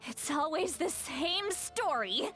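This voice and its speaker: A woman's voice: sits high, lively intonation, takes it slowly, fairly bright tone, heavily acted. An actress playing a proud, prickly, tipsy shaman woman in an anime-style game, dramatic and drunken in delivery. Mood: drunken indignation. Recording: music behind the voice.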